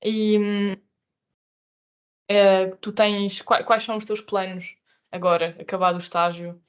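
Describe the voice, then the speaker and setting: A woman interviewing conversationally on a podcast, starting questions haltingly and rephrasing them as she goes. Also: mid-range voice, flat delivery, smooth.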